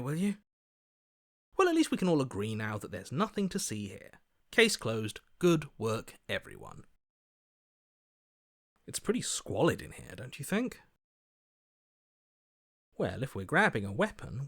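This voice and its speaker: A slippery opportunist for a video game. His pitch climbs and swoops as he flatters and wheedles, smooth-talking and two-faced, in a very dry close recording. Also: no room sound. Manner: glib, wheedling, flattering, backpedalling. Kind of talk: video game character voice acting.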